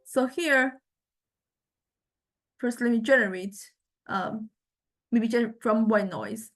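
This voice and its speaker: Halting woman lecturer with a higher-pitched voice. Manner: halting, searching for words, thinking aloud. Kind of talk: informal software demonstration lecture.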